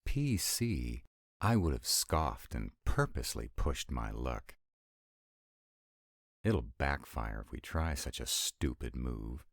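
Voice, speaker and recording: Man acting a very deep, sardonic vampire lover. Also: big pitch swings, slow, creaky, no echo, clean studio sound, full character voice. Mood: exasperated sarcasm. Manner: sardonic, exasperated, intimate, swooping emphasis. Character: sarcastic, grumbling, affectionate male vampire councilman and lover. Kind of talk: romantic roleplay audio acting.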